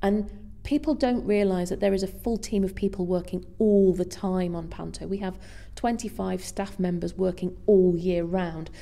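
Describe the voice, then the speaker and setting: A woman's voice: fairly low pitch, steady tone, normal speed, creaky, dark tone. A woman guest speaker explaining her work in a conversational interview. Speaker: guest speaker, theatre finance professional.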